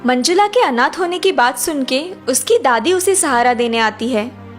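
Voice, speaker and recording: Animated high-pitched woman story narrator. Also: moderate pace, soft background music. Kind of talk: scripted children's story narration.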